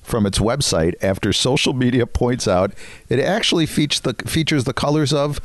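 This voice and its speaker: A man's voice: medium pitch, normal speed, no room sound. A man in his fifties or older, co-hosting a podcast with casual comic banter. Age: 50s or older. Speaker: podcast co-host.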